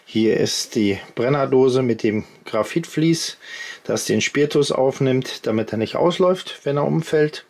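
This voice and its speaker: A man's voice: medium pitch, a little slow, creaky, a little mellow. A man in his fifties hosting a casual gear vlog from his home workshop, chatting to viewers and explaining each step as he shows it. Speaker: outdoor gear channel host. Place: indoor home workshop at a workbench.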